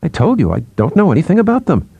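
A man voicing a brisk, slightly impatient psychologist in a conversational way for an audiobook. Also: mid-range voice, sing-song, warm tone, dry recording, lo-fi sound, pitched above the performer's own voice.

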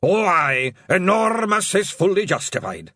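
A ponderous, self-important Scottish pixie, as a man voices him, drawing out every grand word with a broad brogue. The voice rides high and rises and falls expressively, slightly husky and dry. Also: sing-song, sharp tone, no room sound, exaggerated voice. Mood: pompous. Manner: pompous, drawn-out and ponderous. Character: pompous Scottish pixie, long-winded.

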